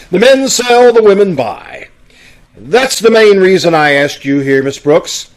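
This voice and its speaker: A man playing a pompous, blustering, easily flustered school principal in an old-time radio sitcom, with a spluttering delivery. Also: on the high side. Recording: amateur video-call radio play recording.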